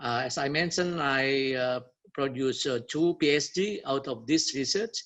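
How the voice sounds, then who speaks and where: A man with an Indonesian accent giving an academic webinar presentation as a researcher, speaking haltingly and repeating words. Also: medium pitch, fairly bright tone.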